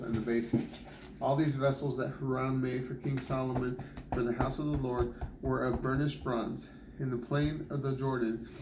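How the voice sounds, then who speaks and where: Even-toned man reading scripture in echoey room. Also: mid-range voice. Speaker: Bible reader. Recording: roomy echo with clear background noise.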